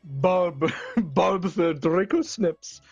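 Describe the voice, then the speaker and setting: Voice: medium pitch, drawn-out words, vocal fry, darkish tone, husky, no echo, dull audio, faint music behind, exaggerated voice. A performer voicing a theatrical villain barber who cackles often and speaks in pompous, old-fashioned phrases. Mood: gleeful menacing. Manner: archaic pompous speech, frequent cackles.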